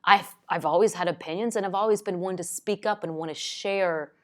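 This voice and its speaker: A woman speaking in a somewhat low register, loud and outspoken by nature. She delivers an earnest, encouraging faith lesson straight to the viewer, teaching step by step. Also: a little fast. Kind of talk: earnest teaching talk to camera.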